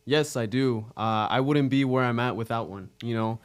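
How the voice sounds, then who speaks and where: Clear-voiced American man of nineteen. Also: medium pitch, normal speed. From Arizona, United States. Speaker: interview guest, realtor. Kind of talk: casual chat.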